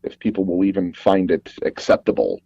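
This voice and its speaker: A man being interviewed as a guest, telling personal anecdotes in a rambling, halting way and often circling back to rephrase. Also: low-pitched, sing-song, gravelly, dark tone, breathy edge, dry recording, dull audio. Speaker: guest audio drama producer and actor.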